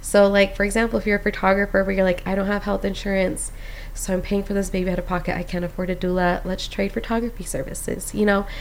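A woman's voice: mid-range voice, fast talker, a little hiss or hum. A young woman from Costa Rica, a postpartum doula, telling her story in a casual chat, full of "like" and "um". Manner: animated storyteller, many "like"s and "um"s.

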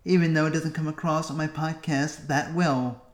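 A man's voice: on the high side, fairly even intonation. Musing podcast man in an echoey room. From United States. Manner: musing, self-talking, with long pauses. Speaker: podcast host. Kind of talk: solo reflective podcast monologue.

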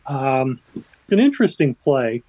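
A man's voice: medium pitch, big pitch swings, moderate pace, warm tone, no echo, poor audio. A man, vice president of a community theater group, talking about upcoming events as a radio guest, a little hesitant. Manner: hesitant, upbeat promotional.

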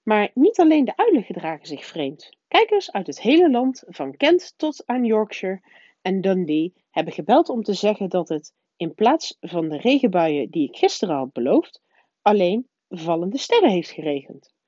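A man's voice: high voice, very animated, moderate pace, a little mellow, no echo, highs cut off. A woman voicing an upbeat male television weatherman addressing viewers, in a storybook reading. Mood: chirpy.

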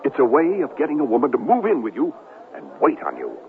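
An actor playing a vain, manipulative, self-pitying newsman in a radio drama, with a theatrical, stammering manner and a husky voice. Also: mid-range voice, wildly swooping pitch, fast, a little hiss or hum. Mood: gloating. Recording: muffled lo-fi 1970s radio drama recording.

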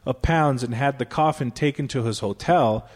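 A man's voice: mid-range voice, moderate pace. A man and podcast co-host giving a dramatic reading of a story, with joking asides. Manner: hammy storyteller voice, breaks into laughs.

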